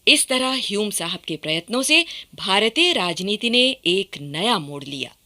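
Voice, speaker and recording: A woman narrates a scripted radio drama in a bright voice. Her pitch moves in an animated way, with a middling register. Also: unhurried, slight background noise.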